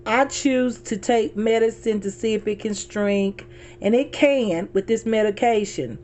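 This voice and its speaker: A hoarse middle-aged woman chatting casually to her viewers from her car, calling them y'all and repeating herself as she talks. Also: a little high, a little slow, darkish tone, slight background noise. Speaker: vlogger.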